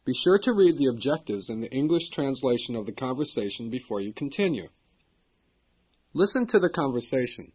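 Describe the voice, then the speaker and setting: A man narrating a language course in a measured, formal instructional manner. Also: mid-range voice, big pitch swings, a little mellow, husky.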